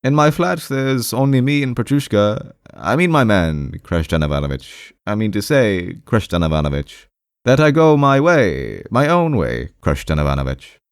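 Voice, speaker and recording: A man's voice: on the low side, big pitch swings, moderate pace, smooth, no room sound, clean studio sound, pitched above the performer's own voice. A man voicing a timid, defensive male clerk in an audiobook, hesitant, repetitive and half-aggrieved, with a strained, raised voice. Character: timid, defensive, rambling male clerk visiting his doctor. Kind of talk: audiobook character voice.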